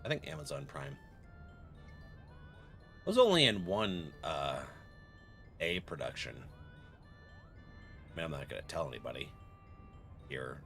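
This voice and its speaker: A man's voice: very deep voice, sing-song, a little slow, gravelly, long pauses, fairly bright tone. A man streaming a game and chatting casually with viewers, easygoing and laughing often. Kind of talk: casual live-stream chat. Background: faint music.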